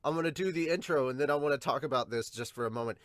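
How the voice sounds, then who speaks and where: A man's voice: mid-range voice, rapid-fire, no echo, studio quality. A man with congested sinuses hosting a casual reaction show, rambling and self-deprecating with some mild swearing. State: congested, sniffly from sinus trouble. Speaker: podcast host and manga reactor.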